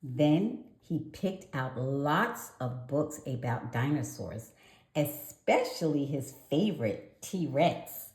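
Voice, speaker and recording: Animated woman storyteller, slow, echoey room. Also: low voice. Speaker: children's storybook reader. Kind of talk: animated scripted read-aloud narration.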